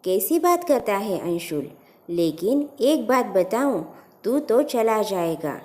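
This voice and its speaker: A woman's voice: medium pitch, sing-song, slow, darkish tone. A woman voicing a practical, teasing young woman in love in an audio story, informal and protesting. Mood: anxious. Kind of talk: acted storytelling dialogue.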